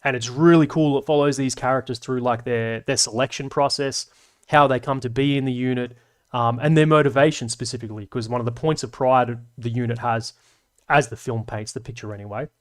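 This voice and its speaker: A man's voice: medium pitch, fairly even intonation, brisk. A young man hosting a live stream, rambling and swearing casually as he reads chat and laughs along.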